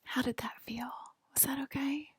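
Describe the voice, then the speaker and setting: A woman doing an ASMR roleplay in a breathy whisper and soft talk, reassuring the listener like a clinician and often checking in. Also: high-pitched, fairly even intonation, moderate pace, dry recording, clean studio sound. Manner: hesitant, reassuring, clinical patter with frequent checking "okay?".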